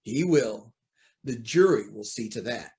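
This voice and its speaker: A man's voice: mid-range voice, lively intonation, a little slow, gravelly, dark tone, slightly rough, heavily acted. A man acting an earnest, anxious, honest judge in an old-time radio drama. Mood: resolute.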